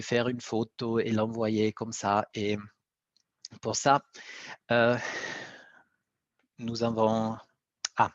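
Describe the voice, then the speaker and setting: A man whose first language is Italian, speaking with an Italian accent, presents on a webinar, pausing often to search for words. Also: mid-range voice, no echo. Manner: halting, searching for words, frequent fillers. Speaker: guest speaker, BIM coordinator. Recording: dry, dull lo-fi webinar audio.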